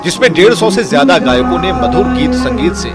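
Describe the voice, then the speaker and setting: A man's voice: very high-pitched, sing-song, a little fast. A man reading scripted news feature narration as a voice-over. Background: loud background music.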